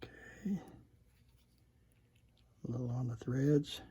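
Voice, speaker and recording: A man with a mellow, somewhat raspy voice gives casual hands-on repair commentary. He speaks slowly and haltingly. He often drops into a mutter, as if talking to himself while he works. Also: medium pitch. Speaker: host demonstrating electronics repair.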